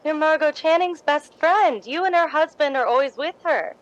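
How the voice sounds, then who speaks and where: Woman playing a timid, high-voiced young admirer. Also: moderate pace, highs cut off, close to an everyday voice. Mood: shy, deferential. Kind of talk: 1950s radio drama acting.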